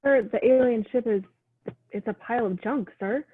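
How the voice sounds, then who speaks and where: A woman's voice: fairly high pitch, moderate pace, slight background noise, lightly acted. A woman reading the part of a starship scanner officer, anxiously reporting damage. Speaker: actor in a table read. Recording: tinny phone-like line.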